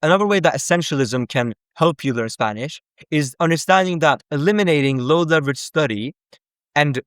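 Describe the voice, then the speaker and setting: A man's voice: on the high side, animated, brisk, a bit bright, clean tone, no echo, clean studio sound. A man from Sweden, a native Azerbaijani speaker, explaining things in casual English with plenty of "like" and "basically.". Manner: conversational, frequent "like" and "basically". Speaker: language-learning content creator. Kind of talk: casual explanatory talk to camera.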